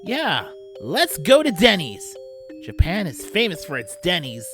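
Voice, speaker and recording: Lively, cheeky young lad, played by an actor. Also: mid-range voice, unhurried, music in the background. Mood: friendly, teasing. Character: friendly, upbeat young lad. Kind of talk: fan audio drama acting.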